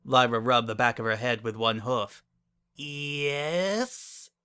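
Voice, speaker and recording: A man's voice: medium pitch, level tone, normal speed, sharp tone, smooth. A man reading scripted dramatic narration as a story narrator.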